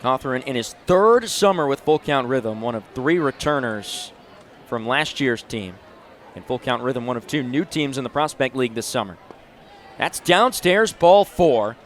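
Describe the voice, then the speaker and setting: A man's voice: mid-range voice, expressive, moderate pace. A man in his twenties doing live play-by-play sports commentary in short, punchy announcer phrases. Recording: noisy live venue sound.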